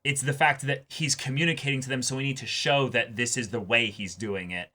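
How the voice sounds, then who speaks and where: Casting: male reaction co-host, bright sharp tone, casual but emphatic, repeats words while working through ideas. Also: mid-range voice, steady tone, fairly quick. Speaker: co-host of anime reaction show. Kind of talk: casual excited discussion.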